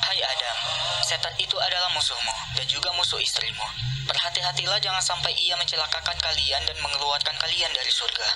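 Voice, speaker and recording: A man's voice: on the high side, brisk. A man reading a scripted religious storybook narration, with music playing over his voice. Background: loud music.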